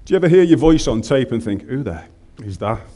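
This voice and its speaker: A man's voice: medium pitch, animated, fast, dark tone. Scouse man preaching with humor. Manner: humorous, conversational, colloquial. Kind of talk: sermon.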